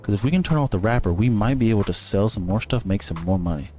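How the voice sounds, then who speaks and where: Rambling low-voiced man gaming. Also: lively intonation, fast talker, a little mellow, soft background music. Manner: rambling, thinking aloud, self-correcting. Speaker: gaming streamer. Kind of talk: casual gameplay commentary.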